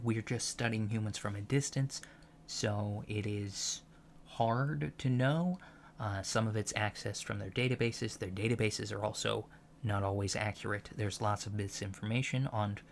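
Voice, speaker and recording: A man playing an earnest alien college lecturer in a soft-spoken ASMR roleplay, measured and gently formal with deliberate pauses. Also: lowish voice, vocal fry, a little hiss or hum.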